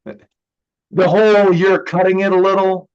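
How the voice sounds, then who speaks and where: A dull, lo-fi recording of an actor playing a sardonic young man in a contemporary stage drama. He is fairly high-voiced and slow, blunt and hesitant, with a wounded edge. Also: flat delivery, darkish tone, clear, resonant tone. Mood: nervous, joking. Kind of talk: staged reading of a contemporary play.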